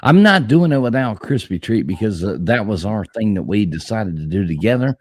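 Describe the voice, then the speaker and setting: Deep, lively-voiced man on a panel. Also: a little fast. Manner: emphatic, swearing. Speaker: panel guest. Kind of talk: casual chat.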